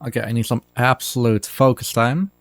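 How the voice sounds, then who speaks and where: Creaky-voiced man commentating on a game. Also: mid-range voice, fairly even intonation, slight background noise. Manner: halting, repeats phrases. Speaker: speedrunner. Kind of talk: casual live game commentary. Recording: game music behind the voice.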